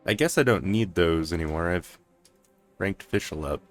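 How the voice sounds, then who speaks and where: A man's voice: sits low, lively intonation, normal speed, faint music behind. A man giving casual playthrough commentary as a gaming host, murmuring to himself as he goes.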